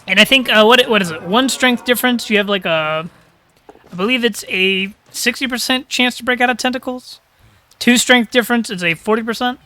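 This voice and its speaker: A man's voice: very high voice, fairly quick, sharp tone. A man casting a live tabletop-style video game match, chatting casually and thinking aloud, with a slightly crackly throat. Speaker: caster.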